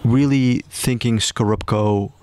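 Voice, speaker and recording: Rambling podcast co-host, dry sound. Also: male voice, mid-range voice, steady tone, takes it slowly, darkish tone, clean tone, no room sound. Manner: rambling, repeats himself, restarts phrases. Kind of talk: casual podcast chat, reminiscing.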